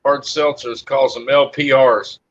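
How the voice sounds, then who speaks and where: A man's voice: mid-range voice, steady tone, measured pace, a bit bright. A man in his fifties on a live stream panel, joking and teasing in casual chat, laughing often. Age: 50s. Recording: dull lo-fi stream audio.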